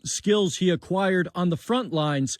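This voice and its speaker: A man's voice: high-pitched, slow pace, no echo, clean studio sound. A man working as a TV news reporter, narrating a scripted feature. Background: music bed.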